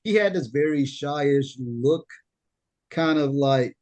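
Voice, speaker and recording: A young man with a deep voice hosting a casual podcast chat, often stammering and repeating words as he tells stories. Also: expressive, slow, darkish tone. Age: young adult. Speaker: podcast host.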